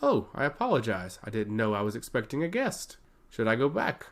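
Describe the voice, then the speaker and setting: A man's voice: medium pitch, big pitch swings, a little fast, gravelly. A man voicing an amused, teasing god of intellect in a theatrical way for a fantasy story. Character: smiling, playfully coy male god of intellect.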